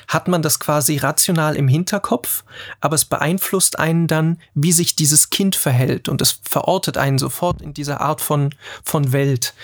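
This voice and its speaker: A man's voice: fairly high pitch, dry recording, clean studio sound. A man in his forties in a casual panel discussion, talking with many hesitations and filler sounds. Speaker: podcast panelist.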